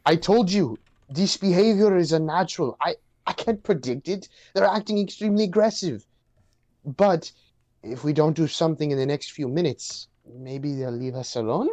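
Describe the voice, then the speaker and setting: A man playing an earnest, anxious young man who knows animals, explaining and halting in a dramatic script reading. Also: a little high, darkish tone, lightly acted. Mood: tense, worried.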